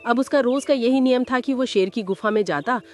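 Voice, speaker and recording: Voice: mid-range voice, brisk. A narrator telling a scripted moral story for a cartoon. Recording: loud music under the voice.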